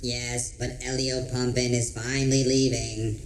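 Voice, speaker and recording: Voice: medium pitch, level tone, unhurried, a little hiss or hum, close to an everyday voice. An actor playing a grumpy, defensive spaceship crew member in an audio drama, sounding sulky. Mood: grumbling. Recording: roomy echo, music underneath.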